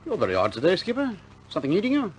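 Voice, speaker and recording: A man's voice: sits high, huge pitch swings, very fast, slight background noise. An actor playing a plucky, stubborn young RAF bomber airman in a 1950s British war film, blunt and informal in manner. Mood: breezy, frank.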